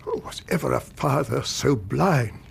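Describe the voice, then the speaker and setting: An English actor in a radio drama playing an anguished, gullible older gentleman, a father who speaks in an emotional, halting, formal way. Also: medium pitch, animated, gravelly, slight background noise. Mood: remorseful. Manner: emotional, halting, old-fashioned formal speech.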